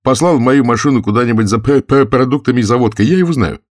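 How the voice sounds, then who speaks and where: A man's voice: medium pitch, fast talker, dry recording, clean studio sound, voice pushed up, lightly acted. A man voicing a mocking, wry male war correspondent with a heavy stutter, in an audiobook. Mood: teasing. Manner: ironic, joking, clipped bursts.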